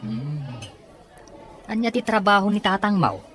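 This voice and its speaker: A woman's voice: medium pitch, very animated, unhurried, a faint crowd, slight background noise. A woman playing a chatty, obliging office underling in a serial drama, over music. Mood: inquisitive. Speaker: actor in a Filipino serial drama. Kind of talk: acted drama dialogue. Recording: music behind the voice.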